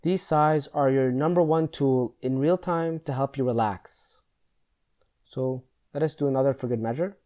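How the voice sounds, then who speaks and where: Mellow-voiced man guiding a meditation. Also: medium pitch, normal speed. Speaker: meditation guide. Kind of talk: guided meditation, slow instruction.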